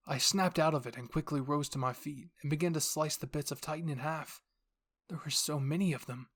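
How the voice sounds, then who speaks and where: Narrator reading dramatic fan fiction, mid-pitched voice. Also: brisk, breathy edge. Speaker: narrator and voice actor of all characters.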